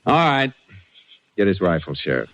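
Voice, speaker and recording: Deep-voiced laconic Texas Ranger. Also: male voice, big pitch swings, a bit bright, no room sound, exaggerated voice. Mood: calm questioning. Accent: American. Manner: laconic, measured, matter-of-fact. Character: calm, probing, laconic Texas Ranger investigator and narrator. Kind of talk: 1940s-50s radio drama acting. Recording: dull vintage radio recording.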